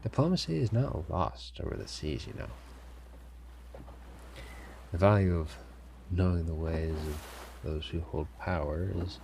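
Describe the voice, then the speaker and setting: A man playing a sly, commanding pirate captain in an audio roleplay, smugly amused and theatrical, with deliberate, formal phrasing, teasing pauses and a gravelly voice. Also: low-pitched, a little mellow, a little hiss or hum, exaggerated voice. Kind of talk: scripted immersive audio roleplay addressed to listener.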